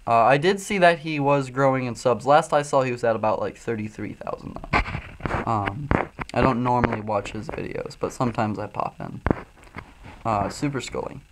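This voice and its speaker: A man's voice: medium pitch, moderate pace, noisy background. Stoned Midwestern guy rambling on stream. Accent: Midwestern American. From Illinois, US. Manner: loose, profane, frequent ums and uhs. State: high, coughing from smoking. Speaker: streamer reading chat. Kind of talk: rambling livestream commentary.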